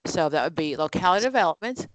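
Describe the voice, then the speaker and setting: A woman's voice: lowish voice, expressive, fast talker, dry recording, rough recording. A woman leading an online exam-prep study group, explaining answers with everyday examples in a conversational way over a video call.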